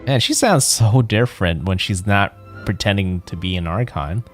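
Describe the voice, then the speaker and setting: A man's voice: on the low side, animated, moderate pace, soft background music. A man giving casual, rambling let's-play commentary as a game streamer, musing aloud.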